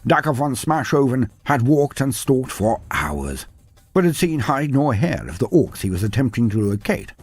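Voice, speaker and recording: A man's voice: mid-range voice, slightly rough. A man giving a dramatic, grandiose scripted narration in the manner of fantasy storytelling. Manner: theatrical, grandiose. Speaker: narrator of fantasy lore videos. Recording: background music under the voice. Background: music.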